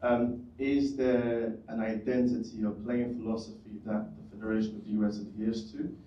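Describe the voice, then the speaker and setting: A British man in his twenties asks a long, polite question from the audience. His voice is low, mellow and flat. The sound is roomy and dull, picked up from across the hall. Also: moderate pace, recorded from a distance, a little hiss or hum. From United Kingdom. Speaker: audience member asking a question.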